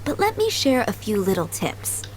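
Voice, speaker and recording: A kindly, motherly maid of middle age from a video game. Her voice sits fairly high and moves with lively melody. She chats politely and dotingly over soft background music. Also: normal speed, low-quality recording. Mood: fondly reminiscing. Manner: polite, doting, gently chatty. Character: kindly motherly head maid, fondly remembering boys she raised. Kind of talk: video game character acting.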